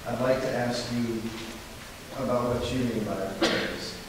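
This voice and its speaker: Measured male moderator in an echoey hall. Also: medium pitch, steady tone. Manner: measured, formal questioning. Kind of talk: moderating a forum. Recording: echoey hall from far off, hiss.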